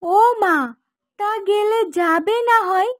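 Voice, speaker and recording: Bossy married woman for cartoon, female performer. Extremely high pitch, directive and questioning, dry sound. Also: takes it slowly, a bit bright, no echo, heavily acted. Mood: persuasive. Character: curt daughter-in-law, or bossy persuasive wife. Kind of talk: cartoon dubbing.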